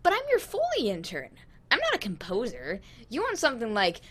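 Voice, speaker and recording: Teenage boy radio intern, voiced by a woman: eager, earnest, excitable, very lively intonation, comedy podcast. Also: on the high side, fairly quick, exaggerated voice. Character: eager, innocent fifteen-year-old boy, starstruck radio intern. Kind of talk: scripted audio comedy acting.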